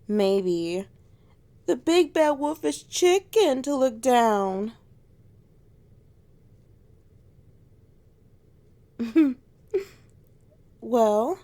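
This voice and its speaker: A woman's voice: high voice, warm tone. A woman playing a teasing alpha she-wolf who is scared of heights and easily flustered, in a romantic ASMR roleplay. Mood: teasing, defensive.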